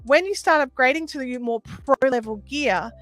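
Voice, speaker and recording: High-voiced woman livestreamer. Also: normal speed, fairly bright tone, faint music behind. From Australia. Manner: conversational, explanatory. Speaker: livestream host. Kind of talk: casual livestream chat answering viewer questions. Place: small sound-treated home room.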